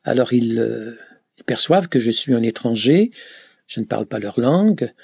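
A man recounting his pilgrimage in a slow, hesitant way, full of filler sounds and restarts. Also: mid-range voice, expressive, gravelly, a little mellow, breathy edge, no room sound, studio quality. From Canada. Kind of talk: reflective travel account.

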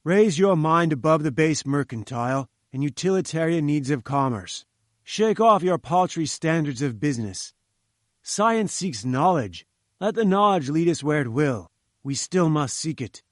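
Man voicing a loud imperious old scientist. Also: a little high, animated, unhurried, no echo, clean studio sound. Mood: angry, lofty. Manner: domineering, curt and lecturing. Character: imperious, irascible older male scientist.

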